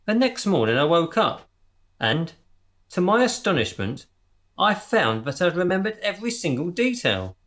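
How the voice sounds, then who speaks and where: An actor playing a grandiose leader of an amateur crew, speechifying with pompous passion in a radio comedy drama. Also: male voice, high-pitched, very animated, normal speed, fairly bright tone. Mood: solemn, confessional.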